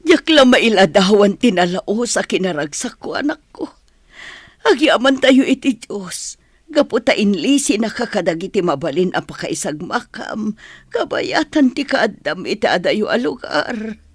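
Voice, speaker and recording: A woman's voice: mid-range voice, sing-song, unhurried, slightly rough, dry recording, clean studio sound. A woman with a Filipino accent playing an anxious, loving mother of a grown son in an audio drama. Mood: tearful relief.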